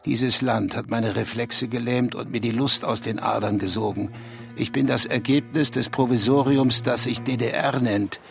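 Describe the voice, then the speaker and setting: A man's voice: mid-range voice, fairly even intonation, normal speed, vocal fry, faint music behind, lightly acted. An actor playing a bitter, self-absorbed middle-aged writer in a radio drama, brooding and declamatory in his accusations. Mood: bitter, accusing. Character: bitter, self-absorbed East German male writer, needy lover.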